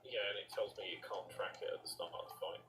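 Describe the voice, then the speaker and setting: A Scottish man with a deep voice talks casually through game details, pausing as he explains. He sounds some way from the mic, with keyboard clatter clearly behind him. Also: fairly even intonation, distant mic, noisy background. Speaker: streamer and raid leader. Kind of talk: casual gaming chat. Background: keyboard typing and clicking.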